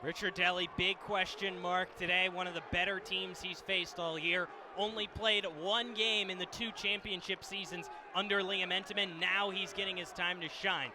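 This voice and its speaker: A man's voice: high voice, normal speed, hardly any pauses, crowd noise. An American man giving live sports analysis on the radio in an emphatic, explanatory way. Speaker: radio color commentator. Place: indoor stadium broadcast position. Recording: live radio with steady venue noise.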